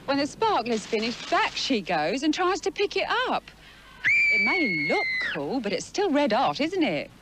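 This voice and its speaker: A woman's voice: high-pitched, very animated, unhurried. An actress playing a chatty, self-justifying mother who talks at a neighbour, on a hissy old film soundtrack. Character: chatty, fussing English mother. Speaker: mother in a public information film.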